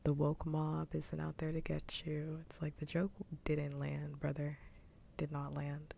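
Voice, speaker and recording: Woman, whispered ASMR chat, creaky, close mic, candid, faint rumble behind. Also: monotone, measured pace, dark tone. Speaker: streamer hosting a live ASMR stream. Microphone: close ASMR microphone.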